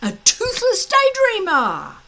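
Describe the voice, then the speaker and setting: A woman's voice: very high voice, huge pitch swings, slow, sharp tone, voice pushed up, heavily acted. A woman reading an audiobook, voicing a nervous young Viking boy who mumbles. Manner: mumbling, hesitant.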